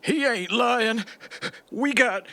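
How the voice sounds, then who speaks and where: Man acting a cowardly captive knight, very slow, high, whimpering, pleading, radio play. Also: pushed and bright, dry recording, full character voice. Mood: desperate, defeated. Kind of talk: post-apocalyptic audio drama acting.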